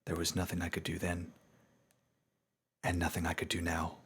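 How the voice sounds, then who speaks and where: A man giving a dramatic, scripted narration of a story in a solemn, measured storytelling manner. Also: sits low, monotone, a bit bright, breathy edge.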